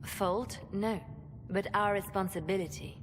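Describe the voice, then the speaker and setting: A woman's voice: medium pitch, unhurried, noisy background, natural voice. A woman playing a cool, grave female spymaster advisor in a video game, matter-of-fact and controlled. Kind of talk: video game drama acting. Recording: long hall reverb, faint ambience.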